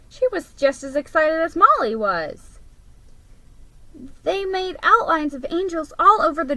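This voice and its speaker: A woman reading a children's story aloud as a dramatic reading, with expressive, emphatic storytelling. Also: high-pitched, dry recording, poor audio. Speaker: storybook reader.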